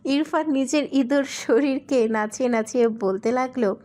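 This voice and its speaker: Woman narrator reading a love story, kinda high voice, scripted. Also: normal speed, warm tone.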